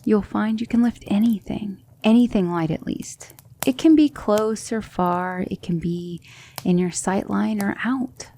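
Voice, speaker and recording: A woman playing a gentle, patient demon mentor in an ASMR roleplay, coaxing her student with soft, deliberate instruction that often drops toward a whisper. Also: mid-range voice, slight background noise, lightly acted. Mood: calm, instructive. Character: gentle female demon mentor teaching magic, patient, reassuring.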